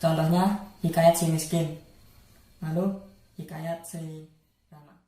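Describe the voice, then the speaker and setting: A young man, a student presenter, explaining material in halting short phrases. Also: on the high side, level tone, dark tone. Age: young adult. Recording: very echoey room, faint music.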